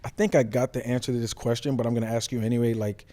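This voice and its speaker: A man's voice: mid-range voice, steady tone, very fast, mellow. A man co-hosting a casual sports interview, conversational and repeating phrases for emphasis. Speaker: sports show co-host, former American football safety.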